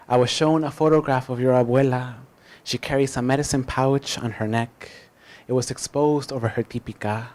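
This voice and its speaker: A man reciting spoken-word poetry as a poet, with deliberate, emphatic phrasing and dramatic pauses. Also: mid-range voice, a little mellow.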